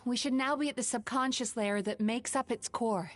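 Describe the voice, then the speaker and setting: Game role: woman playing composed, caring young female dream healer, resolute, higher-register voice. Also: normal speed, lightly acted. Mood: calm, explanatory. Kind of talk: video game voice acting. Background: background music.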